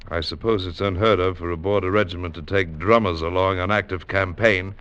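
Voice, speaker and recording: A formal, indulgent military officer, speaking in a measured and understated way. The voice sits fairly low on a dull old radio-drama recording. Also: male voice, fairly even intonation, a bit bright, smooth. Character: reserved, indulgent adult army officer.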